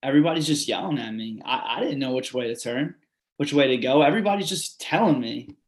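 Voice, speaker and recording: A man's voice: mid-range voice, lively intonation, fast talker. A man reading a dramatic monologue, telling in an urgent, repetitive way how floodwater trapped his family. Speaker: reader performing a monologue. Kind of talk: readers theatre monologue.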